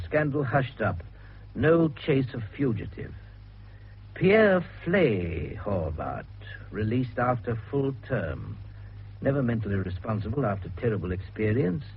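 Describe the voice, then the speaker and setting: An actor playing a gruff, sceptical middle-aged police investigator in a radio detective drama, British and brusque in manner. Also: male voice, mid-range voice. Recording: tinny, noisy radio recording.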